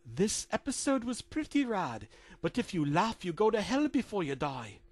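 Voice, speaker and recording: A man's voice: high-pitched, big pitch swings, normal speed, no room sound, voice pushed up, full character voice. Man doing a smug British devil. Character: smug male devil smoking a cigar.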